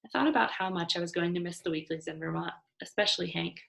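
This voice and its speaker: Creaky-voiced woman reading a children's novel aloud. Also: fairly low pitch, quick pace, a bit bright. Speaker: storybook reader.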